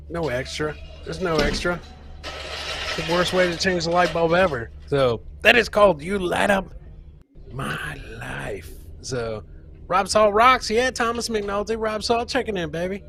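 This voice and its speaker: A man's voice: fairly high pitch, expressive, a little slow, vocal fry, long pauses, a bit bright, slight background noise. A man hosting a comedy show, chatting casually and ramblingly with joking asides as he comments on clips.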